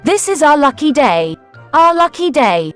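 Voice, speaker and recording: Woman acting a high-pitched, gullible farmer's wife. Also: very animated, measured pace, a bit bright, soft background music, full character voice. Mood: delighted. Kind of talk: animated fairy tale character acting.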